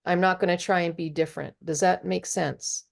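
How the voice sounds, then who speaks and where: A woman from Hawaii giving earnest, unhurried coaching advice as a guest trainer on a video call. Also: lowish voice, fairly even intonation, gravelly.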